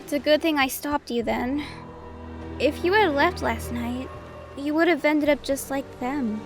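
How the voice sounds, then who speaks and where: A woman's voice: high-pitched, measured pace, music in the background. A woman playing a young cave lioness, a protective and cautious wilderness guide in an audio drama. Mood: guarded.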